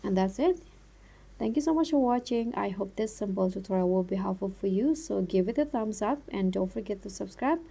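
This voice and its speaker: A woman hosting a step-by-step app tutorial, talking in a halting, instructional way. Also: medium pitch, lively intonation, gravelly, warm tone, husky. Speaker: tutorial host.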